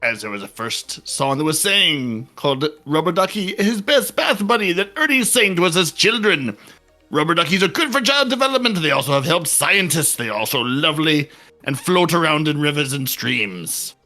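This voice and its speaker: A man putting on a loud, excitable English TV newscaster with a hammy delivery. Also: a little high, normal speed, faint music behind. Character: shouty English male TV newscaster.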